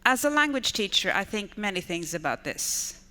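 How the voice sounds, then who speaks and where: Swedish woman in her 50s giving a conference talk, smooth clear voice, long hall echo. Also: mid-range voice, very animated, normal speed, bright, forceful tone. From Sweden. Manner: enthusiastic, stacks repeated intensifiers. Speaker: conference speaker, education manager. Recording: reverberant hall with long decay.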